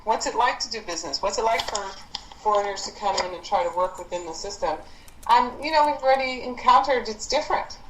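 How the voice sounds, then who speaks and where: A woman leading a programme discussion on a conference call, explaining informally in a halting, encouraging way. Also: medium pitch. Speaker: programme leader and instructor. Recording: roomy conference call, faint noise.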